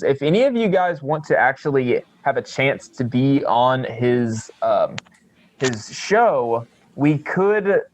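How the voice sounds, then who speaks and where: A man's voice: medium pitch, no room sound, lo-fi sound. A man hosting a casual podcast chat in an informal, rambling, jokey manner. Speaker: podcast co-host.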